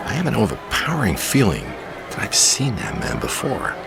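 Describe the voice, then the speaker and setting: Low, creaky and hugely expressive, this is an uneasy, wry man in a radio play. He sounds suspicious and hesitant, with music behind him. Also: moderate pace, full character voice. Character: troubled, wry male jazz pianist, uneasy and suspicious. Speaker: actor in a radio drama. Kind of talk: naturalistic radio drama acting.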